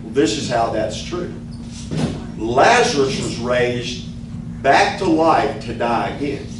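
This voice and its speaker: An older man teaching a sermon in earnest, deliberate fashion, speaking into a stand microphone in a church meeting room. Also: mid-range voice, animated. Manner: slow, earnest Bible teaching with gestures. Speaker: pastor. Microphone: stand mic, filmed from a distance. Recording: very echoey room, distant mic, noise.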